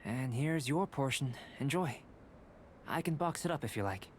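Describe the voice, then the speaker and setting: A man voicing a calm, polite alchemist. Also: mid-range voice, background noise, natural voice. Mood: reassuring. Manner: composed, measured, softly formal. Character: calm young male alchemist, polite and curious. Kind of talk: video game voice acting.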